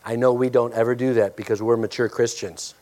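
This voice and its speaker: A male pastor preaching a sermon and praying, with a loud, forceful voice, emphatic when preaching and hushed in prayer. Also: mid-range voice, steady tone, brisk.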